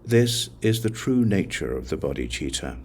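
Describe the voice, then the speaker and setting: Male audiobook reader for sacred text: low-pitched, measured phrasing, slight background ambience. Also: very animated, slight background noise. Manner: measured, deliberate phrasing with pauses. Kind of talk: scripted narration of a Buddhist scripture.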